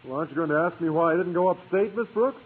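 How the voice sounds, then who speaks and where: An actor playing a mild, earnest American schoolteacher in a vintage radio sitcom. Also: male voice, on the high side, very fast, husky. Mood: puzzled. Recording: tinny old radio broadcast, faint hiss.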